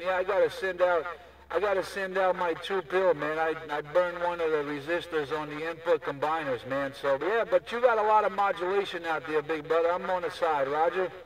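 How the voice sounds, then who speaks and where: A man's voice: sits high, a little fast, far from the mic, rough recording. A man chatting casually in CB radio slang, repeating his catchphrases over and over. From Newark, New Jersey, USA. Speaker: CB radio operator.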